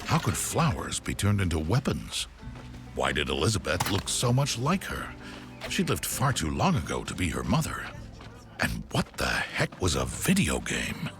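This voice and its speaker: An actor playing a wry, playfully dramatic storybook narrator in an animated game, telling the tale in scripted narration. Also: male voice, extremely low pitch, normal speed, gravelly, over music, exaggerated voice. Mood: wry, storytelling.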